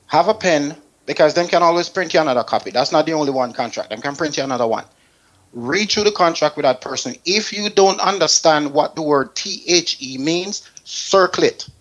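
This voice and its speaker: A man's voice: a little high, animated, fairly quick, fairly bright tone. A man, speaking as a talk show panelist and giving emphatic, informal step-by-step advice. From Canada resident.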